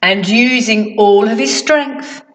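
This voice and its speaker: A woman reading a children's storybook expressively, with theatrical emphasis and drawn-out sound words. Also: a little high, a bit bright. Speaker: storyteller at a school. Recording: echoey hall, far from mic.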